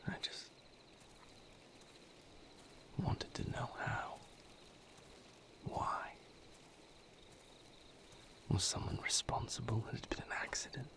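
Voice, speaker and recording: A man playing a brooding vampire lover in an intimate audio roleplay, confiding his grim past in a halting, somber, breathy near-whisper. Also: fairly bright tone. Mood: weary, regretful.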